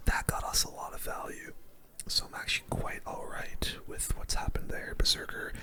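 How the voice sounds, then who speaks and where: Casting: ASMR gamer for play-by-play, fully whispered, crisp sibilant brightness, casual slangy muttered reactions. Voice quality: breathy unvoiced whisper. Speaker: gaming video host. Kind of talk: whispered ASMR gaming commentary.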